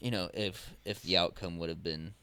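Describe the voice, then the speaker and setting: A man in his twenties co-hosting a podcast, talking in a casual, halting way, often restarting and searching for words. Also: low-pitched, steady tone, pushed and bright, clear, resonant tone. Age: 20s. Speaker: podcast co-host.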